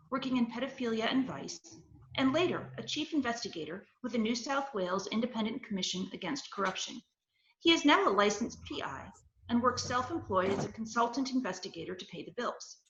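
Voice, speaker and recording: Bright woman in an echoey room. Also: a little high. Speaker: co-host introducing an author. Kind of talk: reading an introduction, casual chat between readings. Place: room, on a video call. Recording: echoey, muffled video-call audio.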